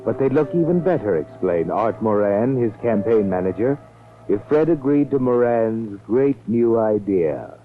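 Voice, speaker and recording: An actor playing a mysterious, sardonic storyteller narrating a 1940s radio mystery with an ominous, deliberate manner. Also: male voice, fairly low pitch, animated. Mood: wry narrating. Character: mysterious, sardonic male night-walking storyteller. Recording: tinny 1940s radio recording, music behind.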